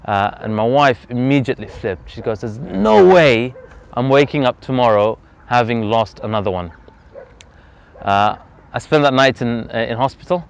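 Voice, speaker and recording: A man, telling his personal story as an interview guest outdoors in earnest, reflective, measured speech. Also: mid-range voice, creaky, smooth. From Zambia. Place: outdoors on a freezing day. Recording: slightly dull lo-fi outdoor recording.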